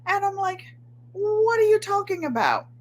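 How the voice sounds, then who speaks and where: A woman in her seventies with a New England accent, slightly nasal and twangy, chatting excitedly to viewers as host of her livestream from a room at home. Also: very high-pitched, moderate pace. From Connecticut, USA. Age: 70s. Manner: chatty, excitable, gestures with hands. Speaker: channel host. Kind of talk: casual livestream chat.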